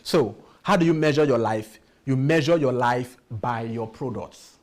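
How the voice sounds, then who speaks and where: A man's voice: medium pitch, measured pace. Guy teaching a workshop, punchy and repetitive, keeps asking the room questions. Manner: emphatic, repeats key phrases, asks the audience rhetorical questions. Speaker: workshop speaker. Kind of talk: motivational workshop talk.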